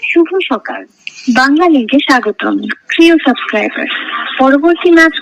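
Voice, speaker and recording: A woman's voice: high voice, lively intonation, normal speed, noisy background. A woman with a Bangladeshi accent giving a recorded phone network greeting, played back from a call recording. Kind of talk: recorded customer service announcement.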